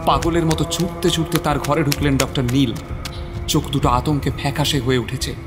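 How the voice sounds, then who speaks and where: A man's voice: medium pitch, steady tone, a little fast. A man narrating a scripted horror story in a suspenseful, theatrical manner. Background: prominent dramatic music.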